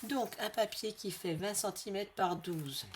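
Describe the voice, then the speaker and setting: A woman with a slight head cold hosting a craft tutorial in a friendly, chatty way, repeating each measurement slowly for her viewers. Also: mid-range voice, very noisy. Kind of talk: casual step-by-step craft tutorial.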